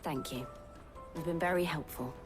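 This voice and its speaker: An actress playing a composed, determined and gently kind woman adventurer in a fantasy video game. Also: sits low, normal speed, music in the background, lightly acted. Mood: gentle. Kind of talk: dramatic video game acting.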